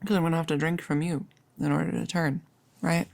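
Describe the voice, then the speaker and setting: A woman playing a nervous, chatty girlfriend in an intimate ASMR roleplay, rambling and confiding with hesitant pauses. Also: low-pitched, steady tone, vocal fry, darkish tone, dry recording. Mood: teasing, nervous. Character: nervous, chatty girlfriend about to become a vampire. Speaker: audio roleplay performer.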